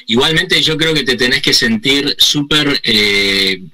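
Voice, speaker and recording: A bright-voiced Argentine man in his fifties hosts from a home study, speaking to a webcam in an upbeat presenter style. The sound is dry and close, with no room echo. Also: mid-range voice, animated, measured pace. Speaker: radio show host. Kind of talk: interview host, then sign-off to camera.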